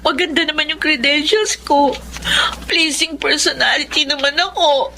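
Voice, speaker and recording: A woman's voice: sits high, normal speed, vocal fry, sharp tone, a little hiss or hum, heavily acted, poor audio. An actress with a Filipino accent playing an eager, wistful young woman job seeker in a radio drama. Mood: frustrated, tearful. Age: young adult.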